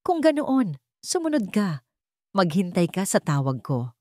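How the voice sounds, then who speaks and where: A woman's voice: mid-range voice, big pitch swings, unhurried, mellow, dry recording, studio quality. A woman with a Filipino accent voicing a threatening, commanding male blackmailer in a story. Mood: menacing. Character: menacing male blackmailer on the phone.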